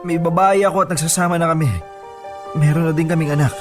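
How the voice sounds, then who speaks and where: A man playing a guilty, evasive young boyfriend in a radio love drama, with loud music behind him. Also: a little high, steady tone, fast, close to an everyday voice. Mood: guilty, apologetic. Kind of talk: emotional radio drama acting. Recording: loud music under dialogue.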